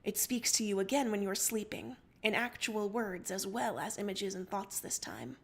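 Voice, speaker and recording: A woman's voice: medium pitch, moderate pace, husky. A woman narrating second-person fiction expressively as a livestream story reader.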